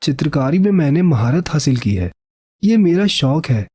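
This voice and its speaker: A man voicing a poor, earnest young village painter and devoted husband in an animated story, sincere and proud of his craft. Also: fairly high pitch, normal speed, slightly rough, dry recording, clean studio sound. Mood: proud, firm.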